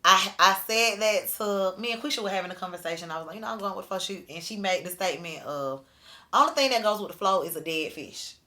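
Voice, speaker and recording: A woman's voice: mid-range voice, quick pace, sharp tone. A woman in her thirties co-hosting a podcast, talking casually and reflectively in loose asides. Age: 30s. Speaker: podcast co-host. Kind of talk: casual chat.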